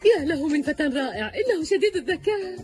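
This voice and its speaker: A woman's voice: high-pitched, big pitch swings, quick pace. A woman voicing a doting, melodramatic cartoon mother who sighs and exclaims theatrically, in a dubbed cartoon. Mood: adoring. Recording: music behind the voice.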